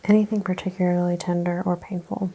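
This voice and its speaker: A woman speaking softly and breathily, often in a whisper, giving gentle, reassuring instructions as a chiropractor in an ASMR roleplay. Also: on the low side, flat delivery, a little fast, low-quality recording. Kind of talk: ASMR, soft-spoken clinical roleplay exam.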